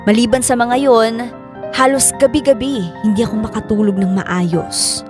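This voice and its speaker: An actress with a Filipino accent playing a remorseful young woman who narrates her confession in a measured, sorrowful way for a radio drama. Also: on the high side, lightly acted. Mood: troubled. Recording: piano music behind the voice.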